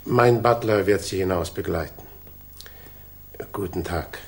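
An actor playing a stiff, grieving upper-class colonel, formal and reserved, with a gravelly, husky voice in a 1960s German radio drama. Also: male voice, low voice, unhurried, exaggerated voice. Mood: guarded, formal.

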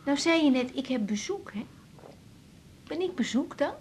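A woman's voice: sits high, animated, normal speed, very noisy. An actress playing a caring, informal young female doctor in a naturalistic radio drama. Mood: probing. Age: young adult.

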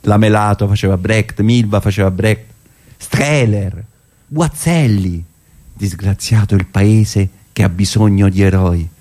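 A man's voice: fairly low pitch, unhurried, dark tone. A man playing a reflective, self-doubting older political militant in theatrical dialogue for radio, speaking hesitantly. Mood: nostalgic. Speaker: actor.

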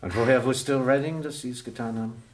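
A man's voice: mid-range voice, steady tone, brisk, lightly acted. An actor in a German radio crime drama playing a male police inspector, formal, probing and dryly insistent. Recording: echoey room sound.

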